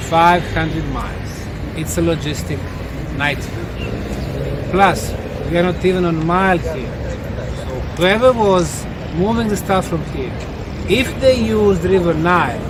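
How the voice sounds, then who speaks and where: Guy, slow tour guide talk outside, highish voice, short punchy phrases, really noisy background. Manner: deliberate, emphatic short phrases with pauses. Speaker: researcher guiding a tour group. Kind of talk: explanatory on-site lecture to a group. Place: outdoors at a quarry site. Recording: very noisy outdoor location sound.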